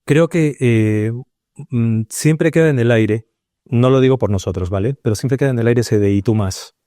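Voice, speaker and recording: Man from Peru, studio panel guest, mellow dark tone, dry close sound, thinks aloud and hesitates a lot. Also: mid-range voice, dry recording. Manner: searching, hesitant, full of restarts and pauses. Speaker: panel guest, paranormal researcher. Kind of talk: reflective round-table discussion.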